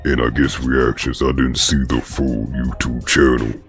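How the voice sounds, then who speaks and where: A man hosting a commentary vlog, speaking in a slow, deliberate, even way. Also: very deep voice, soft background music. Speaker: commentary channel host.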